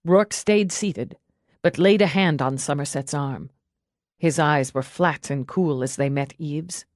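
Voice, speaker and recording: Low-pitched woman narrating dramatic fiction, dry sound. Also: measured pace, a little mellow, no room sound. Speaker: audiobook narrator.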